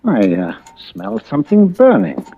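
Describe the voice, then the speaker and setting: An actor playing a mild, polite, hesitant real estate agent, husky-voiced, in old-time radio suspense style. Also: male voice, on the high side, huge pitch swings, a little slow, heavily acted. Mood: puzzled, uneasy. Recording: dull vintage radio drama recording.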